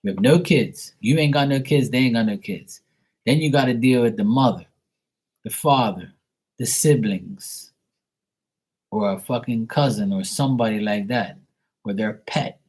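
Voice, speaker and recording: Husky-voiced man ranting bluntly. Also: mid-range voice, darkish tone. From Bermuda. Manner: blunt, profane, rambling, acts out quoted voices. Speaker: live stream host. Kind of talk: casual rant on a live stream.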